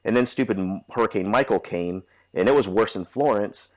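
Nasal, stuffed-up male podcast host, low voice, rambly and casual. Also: brisk, a little mellow. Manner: rambling, many fillers and restarts. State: stuffy from a cold. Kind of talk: casual chat.

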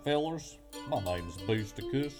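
A man's voice: medium pitch, expressive, measured pace, music in the background, natural voice. Man doing brash showman Booster Kush. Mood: swaggering.

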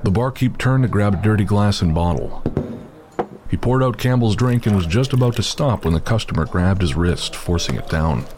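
A man narrating a scripted horror audio drama in a measured, steady way. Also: fairly low pitch, noisy background. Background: music.

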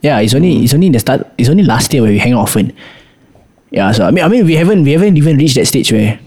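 A man's voice: medium pitch, lively intonation, racing, darkish tone. A young man hosting a casual podcast, teasing and laughing with his friends. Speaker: podcast host. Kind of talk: casual chat.

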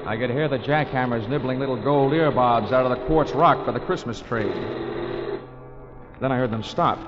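A man's voice: medium pitch, moderate pace, natural voice. An American man playing a wry, wisecracking insurance investigator in a 1940s radio drama, talking and narrating in a dry, sardonic way. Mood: sardonic narration. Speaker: lead actor and narrator of a detective radio serial. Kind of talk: 1940s radio acting, first-person narration. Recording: dull 1940s radio recording with music.

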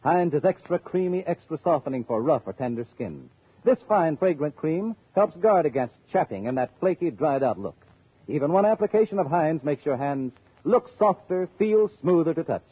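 A man reading a persuasive scripted advertisement as an old-time radio announcer, with a husky voice. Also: expressive, moderate pace. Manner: persuasive sales pitch. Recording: muffled old radio recording.

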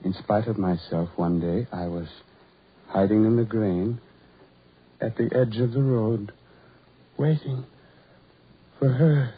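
An actor playing a lonely, self-loathing ugly farm labourer in a 1940s radio horror drama, with halting, repetitive, brooding delivery. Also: male voice, fairly low pitch, breathy edge, exaggerated voice. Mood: yearning. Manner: halting, repetitive, brooding, building to anguished outbursts. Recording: muffled, tinny 1940s radio recording.

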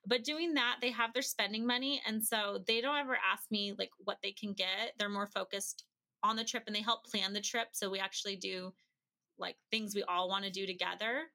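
A woman's voice: medium pitch, steady tone, normal speed, sharp tone. A woman speaking as an interview guest, sharing tips and anecdotes in a casual, conversational way. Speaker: interview guest, traveling mother.

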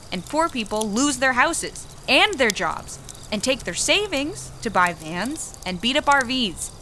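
An American actress playing a young, cynical warehouse picker, wry and argumentative, in a naturalistic audio drama. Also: female voice, on the high side, pushed and bright, slight background noise.

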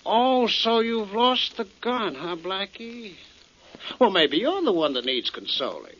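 An actor playing a smug, suave gangster who feigns innocence with mocking sarcasm, in 1940s radio drama style. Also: male voice, sits high, sing-song, measured pace, a bit bright, full character voice. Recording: dull old radio recording.

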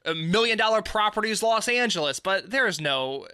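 A young man with a very bright, high voice hosts a casual chat, cracking jokes and wandering before he lands on a curious question. The sound is dry and close. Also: expressive, normal speed, clear, resonant tone, dry recording. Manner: joking, rambling, curious questions. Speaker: podcast host.

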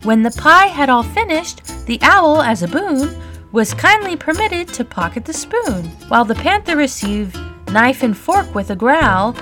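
A woman playing a polite, puzzled, eager young girl with expressive storybook delivery. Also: high-pitched, measured pace, soft background music, heavily acted. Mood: reciting. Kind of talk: storybook character acting and recitation.

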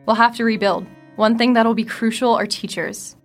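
A woman's voice: a little high, fairly even intonation, normal speed, faint music behind, natural voice. A woman playing a hopeful, friendly girl survivor in an audio drama, encouraging and reflective.

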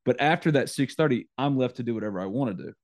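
Animated teasing man hosting a podcast. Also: quick pace. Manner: teasing, animated, jumps high when mocking. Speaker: podcast host. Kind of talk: casual sports chat.

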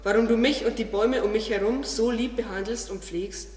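A woman's voice: a little high, fairly even intonation, a little fast, a little mellow, lightly acted. A woman playing a proud, curious talking garden tree in an audio drama. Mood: awed. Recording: echoey, long reverb, distant, under music. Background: music.

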